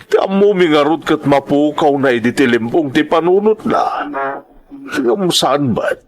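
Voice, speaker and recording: Grave uncle with a swinging pitch man acting. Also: animated, unhurried. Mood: grave, reluctant. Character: older uncle figure gravely revealing a family secret. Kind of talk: Ilocano audio drama acting.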